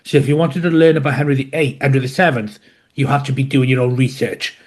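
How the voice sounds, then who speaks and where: A man's voice: mid-range voice, darkish tone. Welsh man, dryly humorous historian. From West Wales. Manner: measured, explanatory, dryly humorous. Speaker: guest historian. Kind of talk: podcast interview.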